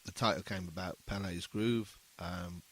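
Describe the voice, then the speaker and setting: A man speaking as a musician guest in a casual interview, explaining his thoughts in a halting, thoughtful way. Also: sits low, steady tone, breathy edge.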